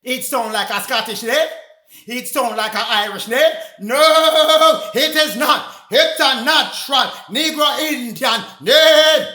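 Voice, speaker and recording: A man preaching in a loud, sing-song shout, firing off rhetorical questions. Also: extremely high pitch, slow pace, roomy sound.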